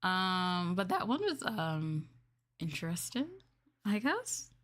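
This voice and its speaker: A woman's voice: lowish voice, big pitch swings, slow, fairly bright tone. A woman chatting casually as a VTuber on a livestream, often hesitant and thinking aloud. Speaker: VTuber streamer.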